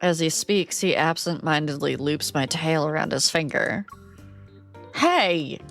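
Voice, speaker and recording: A woman's voice: low voice, unhurried, a bit bright, soft background music. A woman streamer reading a game aloud and reacting with playful, giggly commentary.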